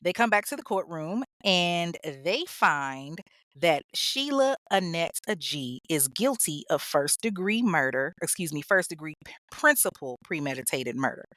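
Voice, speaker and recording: A woman's voice: deep voice, sing-song, a little slow, a bit bright, dry recording. A woman hosting a true crime show, telling the story in a casual, blunt way with swearing and laughing asides. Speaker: true crime podcast host.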